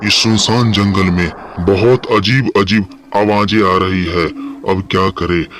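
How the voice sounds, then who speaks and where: Man playing an earnest office worker, low-voiced. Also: steady tone, unhurried, fairly bright tone, slight background noise. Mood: frightened. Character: earnest adult male office worker, worried, stubborn sceptic.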